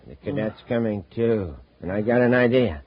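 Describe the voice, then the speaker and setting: An actor playing a brutal, bossy space-thief gang leader in a 1950s radio drama, menacing and barking orders. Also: male voice, on the low side, animated, moderate pace, a little hiss or hum, close to an everyday voice. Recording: dull vintage 1950s radio recording.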